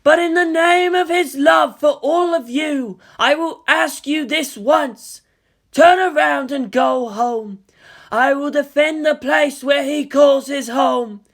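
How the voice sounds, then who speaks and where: Lady doing creepy otherworldly teen girl, high and really slow, solemn threats, gets loud. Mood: solemn menace. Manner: slow, solemn, forceful pronouncements. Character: eerie teenage girl with unearthly power, solemn, vengeful.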